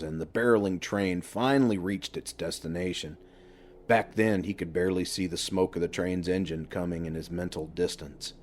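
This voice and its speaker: A man's voice: sits low, darkish tone. A man reading a horror novel aloud as scripted audiobook narration.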